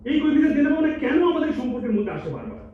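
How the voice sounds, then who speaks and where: A man's voice: very high voice, very animated, rapid-fire. A man playing an exasperated, defensive boyfriend in a melodramatic stage audio drama. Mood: pleading. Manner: pleading, defensive, indignant. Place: multipurpose hall stage. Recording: distant echoey hall, thin phone-like, faint noise.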